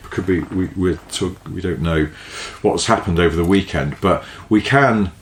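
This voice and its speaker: A man co-hosting a podcast in casual, rambling chat, quick to laugh, his voice low and tired from two days of talking, recorded inside a caravan. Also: echoey room, a little hiss or hum. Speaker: podcast co-host. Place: inside a large caravan in a field.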